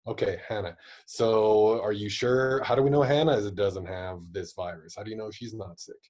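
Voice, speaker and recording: A man's voice: fairly low pitch, moderate pace, darkish tone, close to an everyday voice. A man playing a weary, strict father. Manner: weary, sighing, lecturing. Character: exasperated strict middle-aged father. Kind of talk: improvised role-play.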